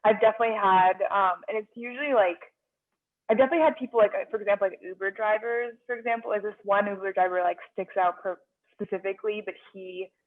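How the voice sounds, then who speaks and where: A young woman from Nepal sharing a casual, animated story as an audience member on a video call. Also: medium pitch, fast talker. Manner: chatty, animated storytelling with many fillers. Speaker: audience participant. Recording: tinny phone-like video call audio.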